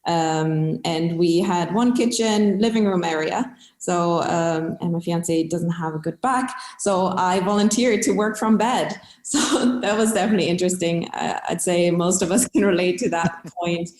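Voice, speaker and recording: A woman's voice: fairly low pitch, steady tone, normal speed, few pauses, darkish tone, reverberant. A woman from Germany with a German accent, a customer success team lead, talking in a chatty, upbeat way in an interview. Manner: chatty and upbeat, with frequent fillers. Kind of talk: casual interview talk.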